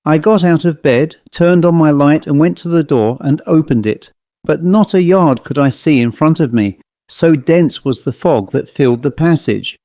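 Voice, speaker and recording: A man's voice: on the high side, dark tone, no room sound. A man reading a scripted ghost story as an audiobook narrator.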